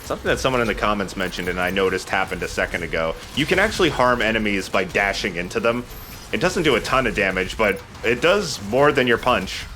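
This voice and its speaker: Bright, animated man commentating on a game. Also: on the low side, fairly quick, a little hiss or hum. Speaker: let's play host. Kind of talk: casual gameplay commentary.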